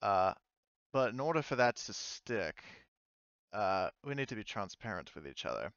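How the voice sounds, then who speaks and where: A man voicing a cunning female corporate executive in a tabletop game, measured and businesslike. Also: on the low side, big pitch swings, fairly bright tone, clear, resonant tone, no room sound, highs cut off.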